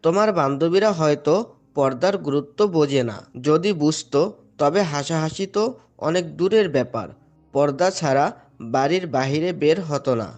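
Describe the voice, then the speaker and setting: A man's voice: on the high side, moderate pace, darkish tone, close to an everyday voice. A man playing a devout father in an Islamic moral cartoon, speaking earnestly and patiently as he instructs his children. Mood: earnest admonishing. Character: devout, gently admonishing father of two.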